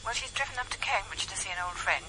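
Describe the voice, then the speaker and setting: A woman's voice: medium pitch, lively intonation, fast talker, a crowd in the background. A woman playing a guarded, polite sister through a telephone filter in a radio drama. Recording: noisy phone line, vintage radio tape.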